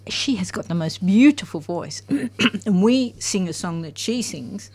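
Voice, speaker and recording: A woman's voice: mid-range voice, animated, a little slow, a little mellow, breathy edge. An older woman, a retired guest, talking with enthusiasm and frequent ums. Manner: chatty and enthusiastic, many ums. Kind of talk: casual conversation.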